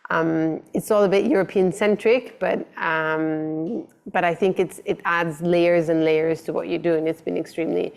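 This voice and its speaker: Thoughtful woman designer, low voice. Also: fairly even intonation, creaky. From grew up in Italy. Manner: thoughtful, slow to start, many fillers. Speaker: interior designer and architect guest. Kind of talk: conversational interview answers.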